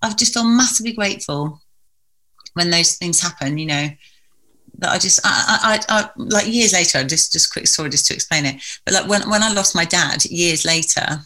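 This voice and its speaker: Bright-toned woman, earnest and reflective. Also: fairly low pitch, moderate pace. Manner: earnest, reflective, speaks in long winding sentences. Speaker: guest. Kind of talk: heartfelt personal conversation.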